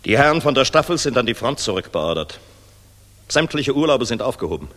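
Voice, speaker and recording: An actor playing a dashing, hard-drinking, sardonic general in a 1960s German radio drama. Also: male voice, medium pitch, big pitch swings, brisk. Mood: sober, resigned. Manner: boisterous, jovial, sharp-tongued. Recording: reverberant mono 1960s radio recording, music behind.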